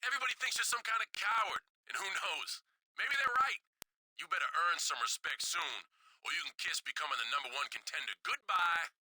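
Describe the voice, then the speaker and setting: An actor playing a blunt, needling backstage wrestling mentor giving a pressing pep talk in a video game. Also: male voice, high-pitched, animated, a little fast, bright, forceful tone, full character voice, poor audio. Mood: needling warning. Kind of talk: scripted video game cutscene acting.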